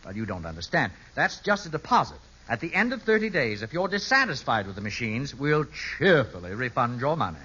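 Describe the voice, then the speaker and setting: A man's voice: mid-range voice, big pitch swings, brisk, few pauses, sharp tone, slightly rough, full character voice. An actor in an old radio sitcom playing a polite, nervy shop salesman who stammers as he gets exasperated. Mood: polite, unsure. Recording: dull vintage radio recording.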